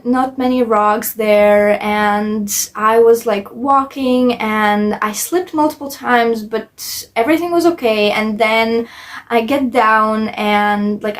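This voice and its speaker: A young woman from Latvia, telling personal stories casually and emphatically in a vlog style. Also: a little high. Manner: emphatic, chatty, repeats intensifiers. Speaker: travel vlogger.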